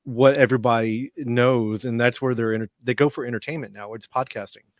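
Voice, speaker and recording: A man co-hosting a casual conversation on a video call, talking in a relaxed, thoughtful way. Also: mid-range voice, fairly quick, dry recording, studio quality. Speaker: co-host.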